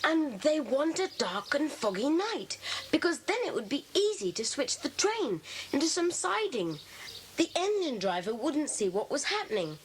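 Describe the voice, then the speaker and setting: A woman's voice: sits high, sing-song, moderate pace. A woman playing a keen, clever child detective, reasoning aloud eagerly in an audiobook dramatisation. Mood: eager, thoughtful. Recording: hissy old cassette dramatisation.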